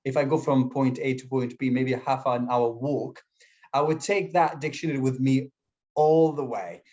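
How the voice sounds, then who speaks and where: A man's voice: mid-range voice, fairly even intonation, moderate pace, darkish tone. A young man from Syria whose first language is Kurdish, speaking English in a casual interview as a guest, with many fillers and restarts. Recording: slightly dull lo-fi audio.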